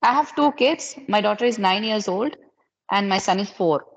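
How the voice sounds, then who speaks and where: A woman's voice: medium pitch, moderate pace, gravelly, rough recording. A woman in her thirties from Karnataka whose first language is Kannada. She speaks English with an Indian accent and leads a patient, question-by-question conversation practice. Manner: patient, asks many simple questions.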